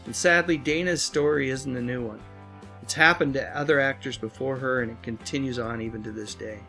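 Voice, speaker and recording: Man, video essay narrator, medium pitch, some fry, earnest dramatic phrasing, soft music underneath. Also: fairly quick. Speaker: host, pop culture commentator. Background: music bed.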